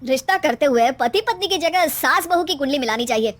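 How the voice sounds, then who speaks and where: A woman's voice: sits high, lively intonation, brisk, a bit bright. Woman acting a complaining, fed-up young husband.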